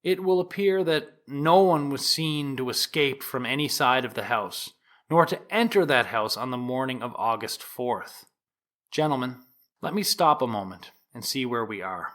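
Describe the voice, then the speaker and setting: An actor playing a formal, insistent prosecuting attorney from the 1890s in a courtroom audio drama, speaking in a measured, emphatic way. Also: male voice, medium pitch, animated, fairly bright tone, close to an everyday voice. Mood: grave, insistent. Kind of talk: dramatized courtroom oratory and examination.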